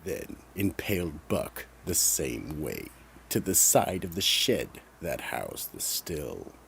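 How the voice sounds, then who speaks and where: Very deep, gravelly male radio narrator. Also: big pitch swings, takes it slowly, a bit bright, a little hiss or hum. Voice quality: gravelly, husky. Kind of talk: scripted radio mystery narration.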